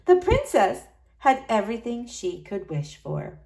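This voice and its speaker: A woman's voice: a little high, sing-song, unhurried, creaky, a little mellow. A woman reading a storybook aloud to young children, expressively, in the manner of a school librarian.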